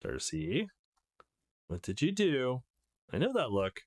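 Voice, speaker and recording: Mild teen friend read by a man, mid-pitched, hesitant, easily flustered, visual novel dialogue. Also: wildly swooping pitch, takes it slowly, full character voice. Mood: mild, curious.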